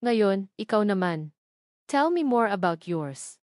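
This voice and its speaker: A woman's voice: mid-range voice, sing-song, slow, darkish tone, no echo, clean studio sound. A woman with a Filipino accent voicing a tender, caring, slightly shy young wife in a romantic audio story. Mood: tearful hope.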